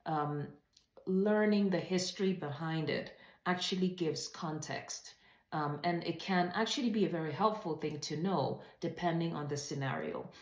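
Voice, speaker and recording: A woman's voice: deep voice, normal speed, roomy sound. A woman presenting a writing webinar in an earnest, emphatic, conversational way, putting rhetorical questions to her listeners. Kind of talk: webinar talk, conversational teaching.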